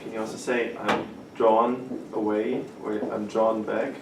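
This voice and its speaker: A male student asks hesitant questions in a low voice. He sounds far from the mic in an echoing classroom, with loud background noise. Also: level tone, unhurried.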